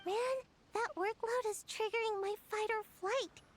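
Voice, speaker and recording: A woman voicing a chatty, excitable tiny companion girl in a game over background music. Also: extremely high pitch, moderate pace, slight background noise. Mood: excited. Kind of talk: game voice acting.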